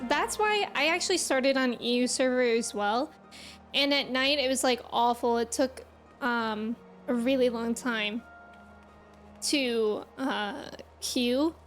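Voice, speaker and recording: A woman's voice: high-pitched, a bit bright. A woman game streamer chatting casually and appreciatively with her viewers in an informal way. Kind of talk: casual livestream chat with viewers. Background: game music.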